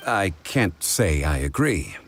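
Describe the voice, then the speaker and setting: A courteous, erudite gentleman of a fantasy game. The voice is very low and measured, with expressive rises and falls. Faint music sits beneath his refined, self-assured delivery. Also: full character voice. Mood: composed. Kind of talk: English-dubbed fantasy game voice acting. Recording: music behind the voice. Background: game background music.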